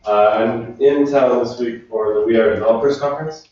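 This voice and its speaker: A man from Finland giving a relaxed technical talk to a meetup audience, chatting with the crowd. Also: mid-range voice, moderate pace. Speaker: conference speaker. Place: large echoey meetup room. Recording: distant, roomy, dull room recording.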